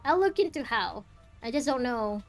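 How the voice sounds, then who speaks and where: A woman's voice: on the high side, very animated, moderate pace, soft background music. A woman from Malaysia with a Malaysian accent, chatting casually while playing a game and reading its lines aloud. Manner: chatty, rambling, laughs easily. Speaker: streamer. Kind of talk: casual gameplay commentary and reading dialogue aloud.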